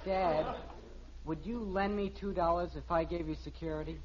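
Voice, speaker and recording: An actor playing an eager, naive American teenage son in a 1950s radio sitcom, pestering and earnest. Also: male voice, a little high, fairly even intonation, moderate pace, close to an everyday voice. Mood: dejected, pleading. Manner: whiny, pestering, gee-whiz earnestness. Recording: dull old radio recording.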